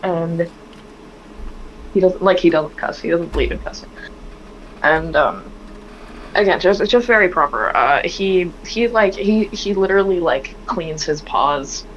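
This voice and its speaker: A hoarse woman playing in a tabletop game, explaining at length with plenty of fillers. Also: lowish voice, animated, a little slow, long pauses, slight background noise. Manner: rambling, filler-heavy explaining. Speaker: player. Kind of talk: casual tabletop game chat. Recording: dull lo-fi mic.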